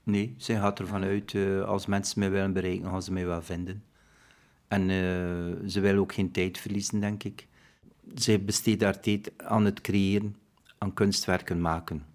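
A man, an art collector, explaining in a steady, thoughtful way. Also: low-pitched, normal speed. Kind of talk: casual interview.

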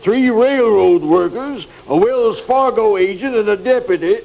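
High, gravelly, bullying western sheriff. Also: male voice, lively intonation, a little slow, full character voice. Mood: indignant. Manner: barking, threatening. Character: mean, corrupt Wild West sheriff, gruff bully. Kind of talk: old-time radio western acting. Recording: muffled old radio recording.

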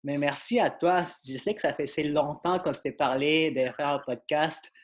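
A man's voice: on the high side, moderate pace. A man hosting a podcast, asking questions in a loose, informal way. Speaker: podcast host. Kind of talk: casual interview questions.

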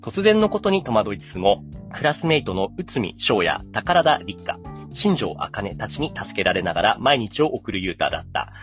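A man's voice: on the low side, big pitch swings, normal speed, faint music behind. A man hosting a podcast, casually and enthusiastically explaining and summarizing an anime. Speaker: podcast host.

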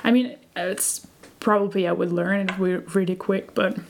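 A young woman from Germany who speaks German natively, chatting casually as a podcast co-host and often pausing with fillers and restarts. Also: medium pitch, fairly even intonation, vocal fry, crowd noise. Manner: hesitant, many fillers and restarts. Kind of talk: casual chat.

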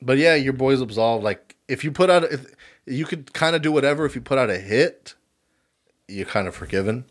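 A man's voice: medium pitch, animated, a little fast. Laid-back slangy young man. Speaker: podcast co-host. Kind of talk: casual chat.

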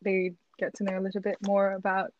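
A woman's voice: mid-range voice, monotone, fairly quick, muffled recording. A woman from the Philippines chatting casually on a podcast, hesitant and giggly with many fillers. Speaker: podcast guest. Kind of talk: casual chat.

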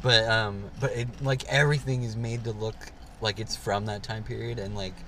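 A man's voice: fairly low pitch, fairly even intonation, moderate pace, fairly bright tone, slight background noise. A young man co-hosting a podcast, chatting casually from a parked car and rambling with lots of fillers. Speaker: podcast co-host. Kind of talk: casual chat.

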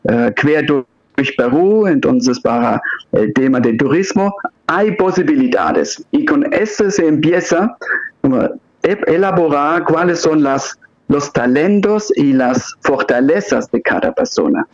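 A man's voice: fairly high pitch, expressive, a little mellow. German-accented man on a dull line. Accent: German, Upper Franconian. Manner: halting, with many hesitations. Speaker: guest coach. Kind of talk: radio interview.

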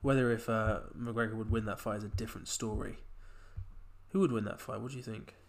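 A man's voice: fairly low pitch, fairly even intonation, a little fast. Man with a cold, hosting. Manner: chatty, reads out quotes in a punchy voice. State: has a cold. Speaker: podcast host. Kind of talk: casual chat.

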